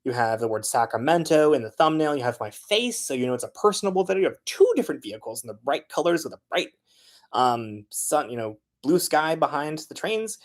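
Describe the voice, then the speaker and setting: A man talks through his filmmaking process in a relaxed, conversational way. He often pauses on filler sounds while he works out his next point. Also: fairly high pitch. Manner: loose and chatty, frequent filler sounds. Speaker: transit video creator. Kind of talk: casual how-to talk.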